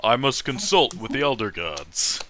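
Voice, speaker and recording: A man's voice: mid-range voice, sing-song, normal speed, sharp tone, slight background noise. A man voicing an overblown teenage hero with superpowers from a fan fiction, declaiming melodramatically. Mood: grandiose.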